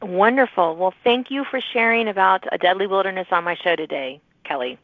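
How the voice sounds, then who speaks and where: A woman's voice: medium pitch, a little fast, dry recording. A woman hosting a podcast, interviewing a guest in a conversational style, with narrow phone-like audio. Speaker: podcast host.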